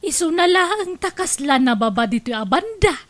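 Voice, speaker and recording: A woman's voice: extremely high pitch, big pitch swings, moderate pace, a bit bright, full character voice. A woman playing a chatty, obliging female friend in an Ilocano-accented radio comedy drama. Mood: chatty, helpful.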